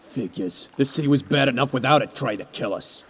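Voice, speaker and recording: A man playing a gruff, impatient teenage mutant turtle with a raspy voice in an audio drama. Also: mid-range voice, brisk, fairly bright tone. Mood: surly impatience.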